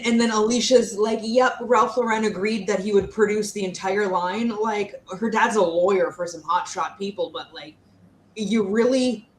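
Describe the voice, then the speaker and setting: A woman co-hosting a casual book discussion, speaking in slangy, incredulous bursts with stop-and-start emphasis. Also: medium pitch. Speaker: co-host of a book readalong live show. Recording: roomy echo, faint background noise.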